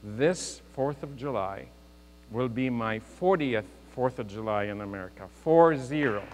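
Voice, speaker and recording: A man's voice: medium pitch, animated, measured pace. A man in his fifties from Lebanon, giving an earnest, joking motivational speech to a live audience. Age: 50s. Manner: earnest, joking, speaks to the crowd. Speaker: guest speaker. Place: large hall with a live audience. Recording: reverberant hall, mains hum.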